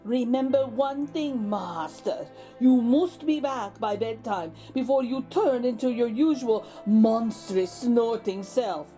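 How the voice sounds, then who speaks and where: A woman's voice: fairly high pitch, slow, hardly any pauses, mellow, faint music behind. A woman voicing a dutiful, deferential servant who cautions a monstrous master in a children's picture book. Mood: earnest, cautionary.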